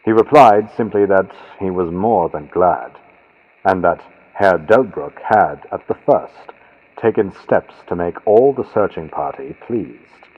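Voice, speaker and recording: Deep, husky man narrating, old-radio sound. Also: lively intonation, slow pace. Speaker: audiobook narrator. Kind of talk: dramatic scripted gothic story narration. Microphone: built-in computer microphone. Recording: muffled vintage-radio sound from built-in computer mic.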